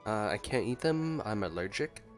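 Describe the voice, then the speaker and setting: A man's voice: on the low side, expressive, normal speed. A man giving casual gameplay reaction commentary as a streamer, with dry, joking asides. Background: faint game music.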